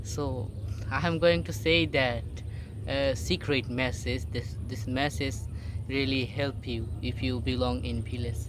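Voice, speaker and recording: A young man from Bangladesh, a native Bengali speaker, giving a casual motivational talk to camera in halting English full of fillers and restarts, sitting on a boat outdoors. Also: medium pitch, fairly even intonation, background noise. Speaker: vlogger. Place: sitting alone on a boat outdoors in a rural area.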